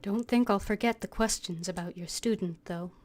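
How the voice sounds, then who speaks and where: A woman's voice: medium pitch, normal speed, darkish tone. A woman streaming a video game, reading game text aloud and voicing her thoughts as she plays. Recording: game music behind the voice. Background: video game music.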